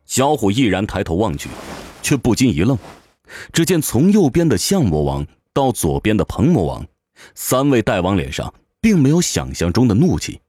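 Low-pitched male narrator, sweepingly animated delivery. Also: moderate pace, no echo. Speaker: narrator of an audio novel. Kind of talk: dramatic scripted fantasy narration. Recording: music behind the voice. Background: music.

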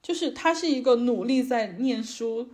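A woman's voice: a little high, normal speed, darkish tone. A woman co-hosting a podcast, chatting casually and emphatically, often checking for agreement with tag questions. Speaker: podcast co-host. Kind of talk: casual chat, opinionated commentary.

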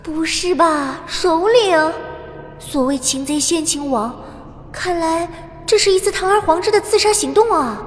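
A woman's voice: extremely high pitch, lively intonation, a little slow, slight background noise. A woman playing a chatty young mage girl, timid yet cocky, in a Chinese audio drama. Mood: complaining, uneasy. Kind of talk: Chinese audio drama acting, first-person narration and dialogue.

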